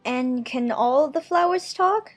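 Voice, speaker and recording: A woman's voice: sits high, takes it slowly, faint music behind. A woman playing a curious, polite, musing young girl in a dramatized children's audiobook.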